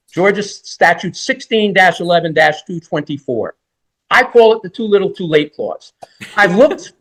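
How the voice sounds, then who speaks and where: High-pitched older man arguing in court. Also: fairly bright tone, low-quality recording. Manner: rhetorical, emphatic, repetitive. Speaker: defense lawyer. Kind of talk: courtroom oral argument.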